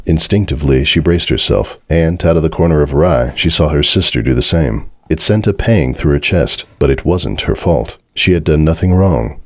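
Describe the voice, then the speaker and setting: A man's voice: very deep voice, moderate pace, hardly any pauses, no echo, clean studio sound. A man giving a dramatic, scripted narration of a story as its narrator.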